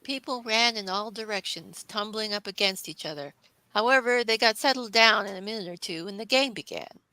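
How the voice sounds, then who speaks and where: A woman reads a classic story aloud as narrator. Her voice is bright and forward at a middling pitch, recorded close and dry. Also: a little fast, dry recording, rough recording.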